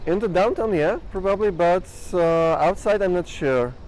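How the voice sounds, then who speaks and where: Halting man from Poland. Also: sits high, lively intonation, darkish tone, slight background noise, poor audio. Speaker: passer-by interviewee.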